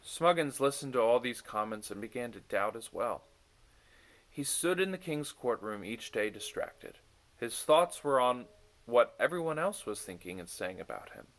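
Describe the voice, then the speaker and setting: A man reading a bedtime story aloud in an expressive storytelling style. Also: medium pitch, moderate pace. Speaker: story reader.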